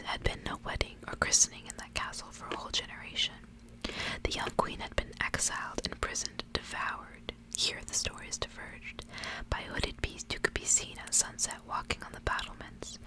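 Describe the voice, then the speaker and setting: Female ASMR reader needed, whispering throughout, soft and measured. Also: poor audio. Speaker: ASMR host reading a storybook aloud.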